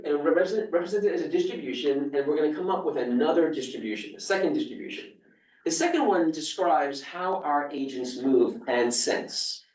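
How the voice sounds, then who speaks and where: A man with a New York accent lecturing at a seminar in a loose, conversational way, full of fillers and restarts. His voice sits a little high for a man. He stands back from the mic in a room that echoes. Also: darkish tone, far from the mic. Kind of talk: academic seminar talk.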